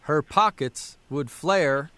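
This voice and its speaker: An actor playing a harried, wry 1930s newspaperman, with a folksy, blustery, halting manner in a period radio drama. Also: a little high, bright, forceful tone, smooth. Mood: amused, jovial.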